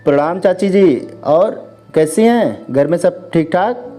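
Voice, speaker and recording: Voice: medium pitch, expressive, takes it slowly, warm tone, faint music behind. A storyteller voicing a cheeky, lovestruck young son who gets flustered and indignant. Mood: flustered, eager.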